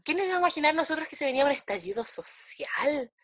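A woman's voice: high voice, very animated, fast talker, fairly bright tone. A Chilean woman co-hosting a podcast, chatting casually and telling stories wryly. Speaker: podcast co-host. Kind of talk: casual chat.

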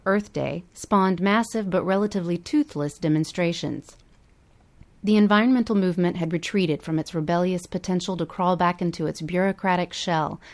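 Woman, lower-pitched voice, scripted documentary narration, podcast co-host. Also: darkish tone.

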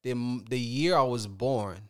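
A man in his thirties from Liberia, telling his story as a podcast guest in a reflective, unhurried way. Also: medium pitch, fairly bright tone, smooth, no echo, clean studio sound. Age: 30s. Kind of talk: casual interview.

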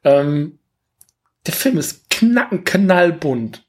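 A man's voice: on the high side, very animated, drawn-out words, darkish tone. A man hosting a podcast, chatting casually about films in a rambling, jokey, self-correcting way. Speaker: podcast host. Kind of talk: casual film review chat.

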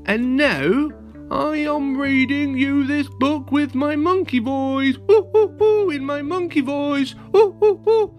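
A man's voice: extremely high pitch, slow, soft background music, voice pushed up. A man voicing a silly storybook monkey with a hooting, singsong, nonsensical delivery. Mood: gleeful, silly. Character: silly hooting robot monkey who reads books aloud.

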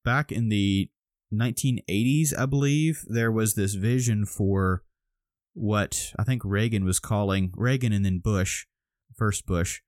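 Lowish male voice, walks thru stuff step by step, restarts a lot, dry mic. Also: measured pace, darkish tone, no echo. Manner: explains step by step with many restarts. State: a little groggy. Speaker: podcast co-host. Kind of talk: casual explanatory chat.

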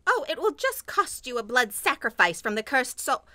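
A woman's voice: high voice, sing-song, normal speed, sharp tone, no room sound, full character voice. A woman playing a cheerful, sly potion-selling witch with a theatrical, airy sales manner in a comedic audio drama.

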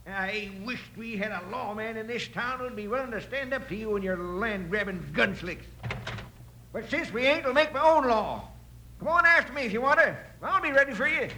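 An actor playing a stubborn, folksy old rancher in a western radio drama. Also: male voice, very high voice, expressive, moderate pace, bright, forceful tone, a little hiss or hum, heavily acted, poor audio. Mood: defiant. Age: older. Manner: folksy, rustic grammar. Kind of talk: 1950s western radio acting.

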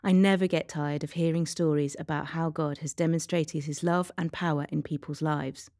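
A woman with a low, mellow voice reads a scripted devotional. The sound is very dry and close, with faint music underneath. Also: steady tone, normal speed, no echo. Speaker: devotional reader.

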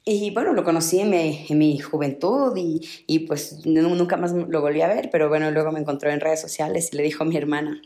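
A woman's voice: deep voice, fairly even intonation, a little fast, hardly any pauses, dark tone, echoey room. A young woman from Ecuador giving an earnest motivational talk, repeating phrases for emphasis. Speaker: motivational speaker.

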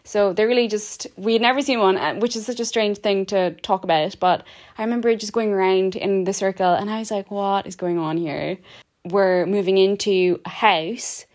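A woman's voice: mid-range voice, fairly even intonation, moderate pace, darkish tone. A young adult woman hosting a podcast, telling a story in a chatty way with lots of "like". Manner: chatty, lots of "like", laughs easily. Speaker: podcast host. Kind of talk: casual storytelling chat.

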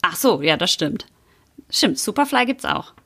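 A woman's voice: medium pitch, big pitch swings, a little slow, fairly bright tone, husky. A middle-aged woman hosting a podcast in casual chat, playful and now and then slipping into a mock rapper tone. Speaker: podcast host.

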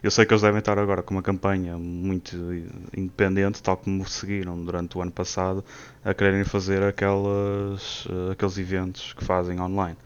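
A man's voice: low voice, completely flat delivery, fairly quick, smooth. A man co-hosting a gaming podcast, speaking in a thoughtful, hesitant way with hums. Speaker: podcast co-host. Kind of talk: casual gaming podcast chat. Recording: lo-fi muffled mic.

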